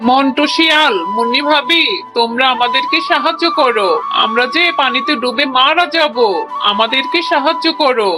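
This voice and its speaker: A woman's voice: sits high, moderate pace, music in the background, natural voice, poor audio. A woman voicing a desperate, apologetic tigress pleading in a children's cartoon. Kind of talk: Bengali children's cartoon dubbing.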